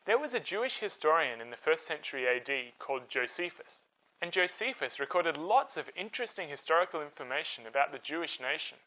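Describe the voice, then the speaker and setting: A man tells history as a storytime host, with a bright, forceful voice sitting slightly high. His intonation swings widely, and the scripted narration stays conversational and emphatic. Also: big pitch swings, brisk, rough recording.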